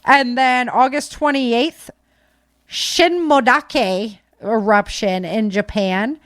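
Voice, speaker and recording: A woman's voice: fairly high pitch, slow, a bit bright, dry recording. A woman podcast host casually reading through disaster news, with informal, chatty asides to listeners.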